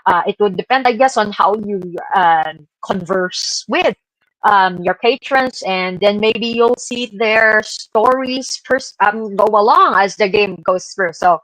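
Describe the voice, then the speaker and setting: A woman's voice: medium pitch, measured pace, a bit bright, no room sound, low-quality recording. A woman presenting informally to co-hosts on an online show, in a hesitant, conversational way. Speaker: podcast presenter. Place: online live stream call.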